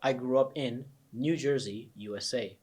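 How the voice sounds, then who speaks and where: A man's voice: medium pitch, normal speed, a little mellow, slightly rough. A young man with an American accent, a test prep coach persuasively walking students through his course. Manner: earnest, persuasive, emphatic. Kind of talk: promotional course walkthrough.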